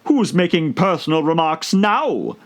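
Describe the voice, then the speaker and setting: A man's voice: a little high, sing-song, a little slow, voice pushed up. A man voicing a rude, bossy hatter for a storybook, brusque and mocking in manner. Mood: triumphant.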